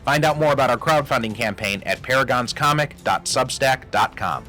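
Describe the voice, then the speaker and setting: A man's voice: medium pitch, lively intonation, moderate pace, pushed and bright, soft background music. A man hosting, reading a scripted promotional announcement in an emphatic, hype-filled way. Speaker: host and comic writer.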